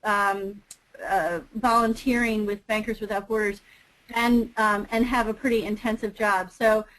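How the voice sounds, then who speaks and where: Woman, webinar panelist, flat and even delivery, lots of ums. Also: medium pitch, a little slow, low-quality recording. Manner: hesitant, many ums and restarts. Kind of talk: casual talk on a webinar.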